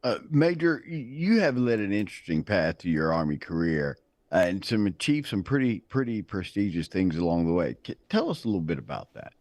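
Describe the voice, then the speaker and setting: A man hosting a morning TV news show, speaking in a measured way with frequent fillers. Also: low-pitched, very animated, darkish tone, no echo. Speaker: TV news host. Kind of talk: morning TV interview. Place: TV studio.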